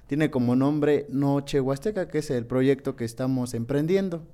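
A man from Veracruz calmly introducing himself and his band on a radio show. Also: medium pitch, normal speed. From Veracruz, Mexico. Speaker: band member.

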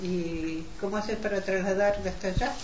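A woman with an Argentine accent playing a neighbourly housewife hosting friends in an amateur radio drama, speaking haltingly and conversationally. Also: mid-range voice, steady tone, natural voice. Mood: neighbourly, chatty. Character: Selmira, neighbourly housewife hosting women for mate. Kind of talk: amateur community radio drama, semi-improvised chat. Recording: dull lo-fi, clearly noisy.

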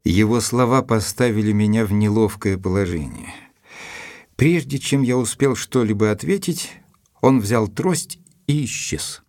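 Earnest gentleman narrator, lowish voice, dry close sound, measured literary delivery, detective audio drama. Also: no echo. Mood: measured, reflective. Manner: measured, deliberate, literary phrasing.

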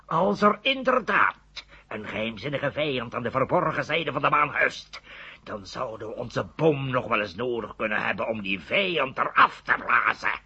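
An actor playing an older, condescending professor and bomb inventor in a radio drama, speaking deliberately and sceptically. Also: male voice, mid-range voice, very animated, bright, forceful tone, slightly rough, exaggerated voice. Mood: grave, deliberate. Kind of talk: 1970 Dutch radio drama acting. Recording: muffled 1970 radio play recording.

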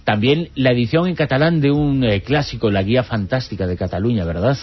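A man's voice: mid-range voice, brisk, slightly rough. A man hosting a late-night radio talk show from a studio, introducing guests with many hesitant fillers. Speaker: radio host. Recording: dull lo-fi radio broadcast.

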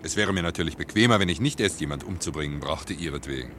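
A man's voice: very deep voice, expressive, quick pace, a bit bright, slight background noise. An actor playing a cynical, world-weary man with a cool, sardonic manner in a German radio play.

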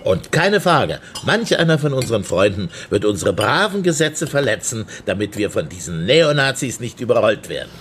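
An actor playing a sardonic former leftist given to mock-solemn speeches in a German radio drama. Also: male voice, lowish voice, very animated, normal speed, hardly any pauses, fairly bright tone, slight background noise.

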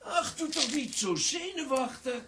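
An actress playing a bodiless female ghost in a children's audio drama, soothing and playful, with drawn-out words. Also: high voice, lively intonation, a bit bright, a little hiss or hum. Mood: teasing.

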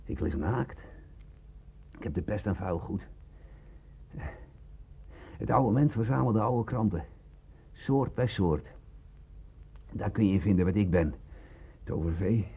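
An actor in a radio play voicing a cynical, boastful, sickly bandit with a hoarse, drawling, mocking delivery. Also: male voice, on the low side, slow pace, pauses often, warm tone, heavily acted. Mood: weary, wry. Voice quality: hoarse, gravelly.

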